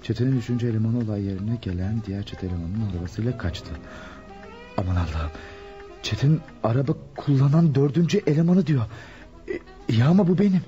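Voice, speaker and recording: A man's voice: medium pitch, expressive, brisk, dark tone, soft background music, lightly acted. An actor playing a nervous ordinary man caught up in a crime in a radio drama, hesitant and flustered. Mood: alarmed. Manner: muttering to himself, hesitant, flustered. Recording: dull lo-fi radio recording.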